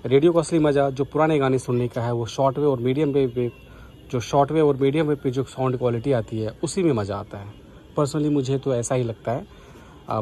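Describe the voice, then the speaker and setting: A man's voice: medium pitch, warm tone, a little hiss or hum. A man giving casual vlog commentary as a radio hobbyist.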